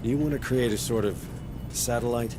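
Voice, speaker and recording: An actor playing a hard-bitten, no-nonsense marine sergeant in a video game, blunt, sardonic and commanding. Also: male voice, normal speed, natural voice. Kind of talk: video game cutscene acting. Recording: loud music and vehicle rumble behind.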